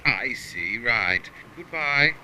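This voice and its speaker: A man's voice: medium pitch, animated, slow, background noise. A man playing a curt, grumpy householder fed up with a pesky visitor. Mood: irritated.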